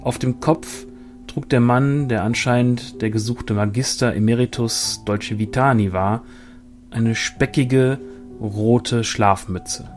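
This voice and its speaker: A man in a middle register reads a fantasy story calmly and evenly. His narration sounds scripted and composed, while soft guitar music plays in the background. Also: mid-range voice. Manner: calm, measured reading.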